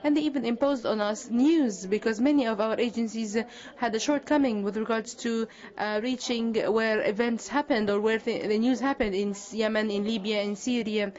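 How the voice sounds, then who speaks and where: A woman giving live interpretation at a conference panel, halting often with hesitations and correcting herself mid-phrase. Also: fairly high pitch, darkish tone, lo-fi sound.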